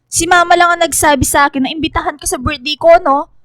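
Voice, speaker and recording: A vain young friend in a radio drama. She sounds high and girlish, with a sassy, dismissive edge. The sound is close and dry. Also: fairly even intonation, quick pace, a bit bright, no echo. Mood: cold, contemptuous. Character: vain, fickle young woman turned cold toward old friend.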